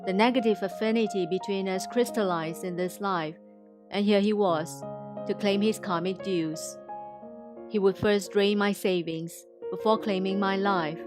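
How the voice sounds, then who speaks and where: A woman from Indonesia reads a scripted inspirational testimony in a formal, measured way. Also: mid-range voice. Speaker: testimony speaker.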